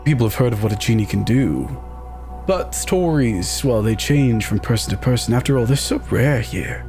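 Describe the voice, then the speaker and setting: A man voicing a suave, guarded curator with a measured, dry, formal way of talking. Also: mid-range voice, expressive, a little mellow, faint music behind, natural voice.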